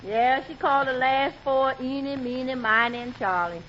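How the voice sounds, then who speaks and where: A woman's voice: sits high, normal speed, close to an everyday voice. A woman playing a jolly, sassy, folksy housemaid in a 1940s radio comedy. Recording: muffled, noisy radio recording, studio audience.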